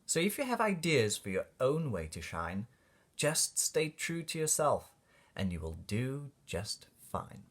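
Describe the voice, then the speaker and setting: A man reading a rhyming children's storybook aloud in an expressive, deliberate way for young listeners. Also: mid-range voice. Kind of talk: read-aloud storybook narration of rhyming verse.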